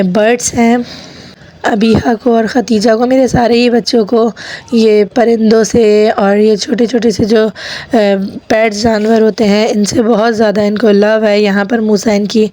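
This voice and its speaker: A woman in her thirties with a hoarse voice from a sore throat, chatting to viewers as she narrates her family vlog. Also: mid-range voice, dark tone. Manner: chatty, addresses viewers directly. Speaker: vlogger. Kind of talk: casual vlog voice-over narration.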